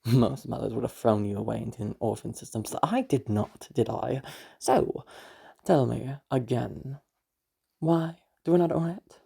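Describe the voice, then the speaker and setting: A woman acts out a domineering, sanctimonious mother in an audio drama. Her voice is dark and husky, full of gravel. She talks down to her listener with deliberate, menacing condescension. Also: rumbling low, heavily acted. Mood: cold, reproachful. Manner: condescending, slow deliberate taunting with hums. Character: controlling, sanctimonious, menacing mother of a grown daughter. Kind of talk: amateur audio roleplay drama.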